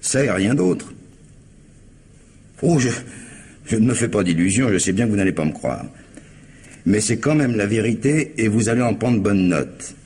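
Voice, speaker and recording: Deep-voiced indignant ex-con. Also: male voice, lively intonation, moderate pace, long pauses, darkish tone, slightly rough. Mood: resigned, insistent. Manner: slangy, protesting, emphatic. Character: streetwise ex-convict under police questioning, indignant, self-justifying. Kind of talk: French 1950s radio crime drama acting.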